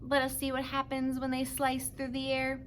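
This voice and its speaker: A woman voicing a patient, protective robot mother who speaks in precise, formal, measured sentences for a children's storybook. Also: high voice, monotone, slight background noise, lightly acted. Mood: encouraging.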